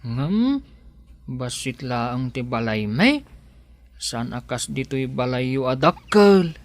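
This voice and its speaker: Shy young boyfriend in a radio drama, very up n down voice, humble, hesitant. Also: male voice, mid-range voice, very animated, slow pace. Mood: tender, modest. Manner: hesitant, bashful. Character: Arcadio, bashful, devoted young suitor of modest means. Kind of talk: Ilocano radio drama acting.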